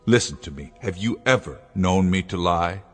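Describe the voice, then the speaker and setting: A man's voice: low-pitched, lively intonation, moderate pace, faint music behind. A man voicing a commanding, persuasive inventor father in a radio drama. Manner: commanding, coaxing. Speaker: scientist.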